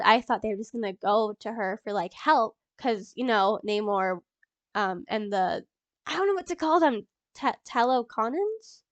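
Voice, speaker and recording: Stuffy-nosed excitable young woman vlogger. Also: high-pitched, dry recording. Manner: rambling and excitable, many ums and laughs. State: stuffy from a cold. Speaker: YouTuber. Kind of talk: casual movie reaction vlog.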